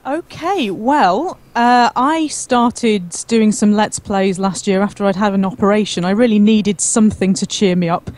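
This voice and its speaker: A woman's voice: mid-range voice, unhurried, few pauses, a little mellow. A woman calling in to a livestream and chatting casually about herself. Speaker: livestream caller. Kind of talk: casual chat.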